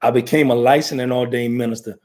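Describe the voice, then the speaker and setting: An American man hosts a talk show in a loose, rambling way, circling back and restarting his thoughts. His voice is mid-pitched and ordinary. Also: fairly even intonation, quick pace, darkish tone. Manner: rambling, conversational, many fillers and restarts. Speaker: talk show host, ordained minister. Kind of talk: casual talk show chat.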